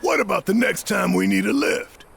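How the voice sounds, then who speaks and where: Gravelly, brash game fighter. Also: male voice, sits high, animated, moderate pace, fairly bright tone, faint music behind, full character voice. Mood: doubtful. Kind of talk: video game voice acting.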